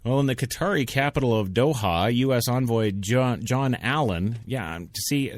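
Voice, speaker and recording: A man's voice: mid-range voice, lively intonation, normal speed, very noisy. A man hosting a radio show, reading the news with joking asides and stumbling over hard names. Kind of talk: comic news commentary. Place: radio studio.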